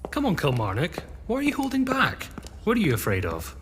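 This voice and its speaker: A man's voice: high voice, wildly swooping pitch, fairly quick, fairly bright tone, exaggerated voice. An actor voicing an eager, impatient fourteen-year-old boy in a period audio drama. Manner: impatient, teasing, adventurous. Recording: long hall reverb with faint hiss.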